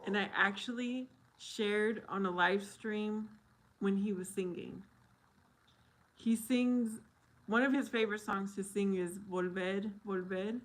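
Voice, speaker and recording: A woman's voice: medium pitch, steady tone, slow pace. A woman live streaming and chatting casually with viewers, answering them by name and laughing often. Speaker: live streamer reading chat.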